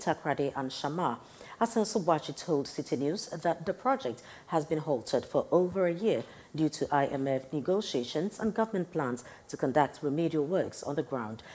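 A woman anchors a TV newscast. Her voice sits low, and she speaks with a Ghanaian accent. The delivery is scripted news reading from a studio. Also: a little mellow.

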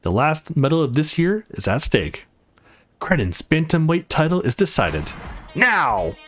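A man voicing a brisk, official competition announcer in a game, with declamatory delivery. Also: on the high side, very animated. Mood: rousing.

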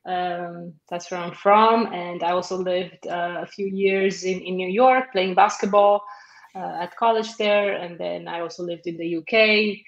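A woman's voice: on the low side, fairly even intonation, unhurried. A young woman from Romania, an academic advisor on a video call from her office, speaking in a friendly, hesitant way with fillers. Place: office, indoors. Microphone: laptop webcam on video call. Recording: echoey office, dull webcam sound.

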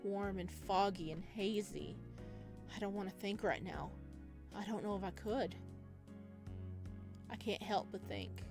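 A woman with a mid-range voice plays through a visual novel. She reads the text aloud in a playful, expressive way and adds chatty reactions, with music clearly behind her. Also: medium pitch, measured pace, long pauses. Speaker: streamer playing a visual novel.

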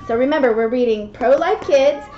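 A woman's voice: high voice, moderate pace. A woman in her thirties reading and explaining to a small child in simple language, often checking in with questions. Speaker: mother and children's read-aloud presenter. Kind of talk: reading a picture book aloud and chatting to a small child. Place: small classroom, indoors. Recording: muffled, echoey webcam audio with noise.

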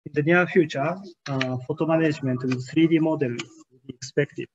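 Guy giving a keynote on a webcam call, slightly high voice, lots of uh pauses, audio a bit muffled. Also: fairly even intonation, a crowd in the background. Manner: hesitant, frequent "uh" fillers, careful formal phrasing. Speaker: keynote speaker. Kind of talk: conference keynote presentation over slides. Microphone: webcam on a video call.

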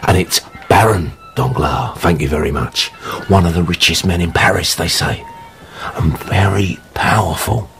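A man's voice: deep voice, moderate pace, fairly bright tone, a little hiss or hum. A man playing a seedy, sly drinker in a radio drama, telling tales in a conspiratorial, wry manner. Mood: sardonic, cautious. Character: seedy gossiping male tavern drinker, sly and wary.

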